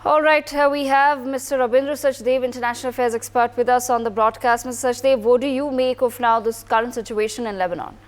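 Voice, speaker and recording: A woman's voice: on the high side, fairly even intonation, quick pace, few pauses. A woman with an Indian accent presenting live television news as an anchor.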